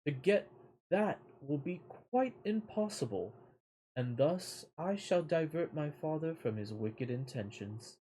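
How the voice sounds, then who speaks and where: A man reading the lines of a resolute, shrewd young princess in a storybook tale, in measured phrases. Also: fairly high pitch, lively intonation, dark tone, a little hiss or hum, pitched above the performer's own voice, poor audio. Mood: scheming.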